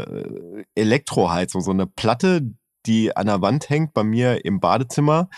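A man's voice: fairly low pitch, darkish tone, no room sound, clean studio sound. A man co-hosting a casual podcast, telling stories in a rambling, chatty way with many fillers. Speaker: podcast co-host. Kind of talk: casual chat.

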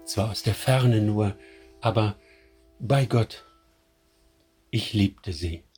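A man voicing a devoted young man in a radio drama, narrating in a tender, confessional way over soft music. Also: lowish voice, slow pace. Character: young man secretly in love, anxious, devoted. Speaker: first-person narrator.

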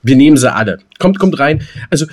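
A man's voice: mid-range voice, expressive, fast. A man co-hosting a podcast, chatting playfully and casually and often repeating his phrases. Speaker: podcast co-host. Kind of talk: casual banter.

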